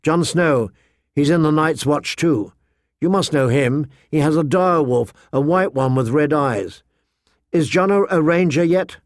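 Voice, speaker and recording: Man voicing an earnest young girl, raised pitch. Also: expressive, darkish tone, no echo, studio quality. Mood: excited, eager. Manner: eager, halting, insistent. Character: earnest, stubborn young girl, excitable chatterbox. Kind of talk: audiobook character dialogue.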